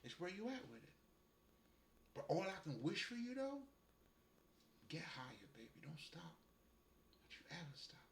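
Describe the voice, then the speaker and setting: Brief: man with a Haitian accent, bright animated delivery, slow and unhurried, echoey room. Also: fairly high pitch, creaky, slight background noise. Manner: profane, laughs often, loose and playful. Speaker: spiritual guide. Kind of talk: casual spiritual monologue.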